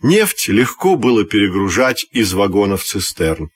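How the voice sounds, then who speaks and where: A man reading a scripted children's fairy tale as an expressive, measured storyteller. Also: fairly low pitch, breathy edge.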